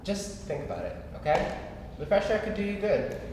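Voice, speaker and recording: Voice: medium pitch, big pitch swings, moderate pace, darkish tone, lightly acted. A young performer reading the part of a tired, sensible, caring man who teases dryly in a staged play. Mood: weary, caring. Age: young adult. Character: Andrew, tired, sensible, teasing working man, caring partner. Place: staged reading in a large echoey room. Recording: echoey hall, faint noise.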